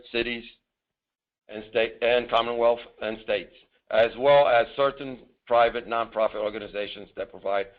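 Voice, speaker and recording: A man giving a formal, mostly scripted presentation as a government official on a conference call, reading deliberately in short, halting phrases. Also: medium pitch. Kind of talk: formal scripted presentation with some improvised asides. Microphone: telephone. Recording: narrow tinny phone conference line.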